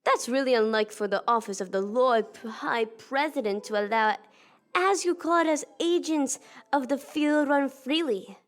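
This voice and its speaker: A woman's voice: high voice, normal speed, close to an everyday voice. A woman playing a blunt, impatient and sarcastic Time Lord field agent in a sci-fi audio drama. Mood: defiant, sarcastic.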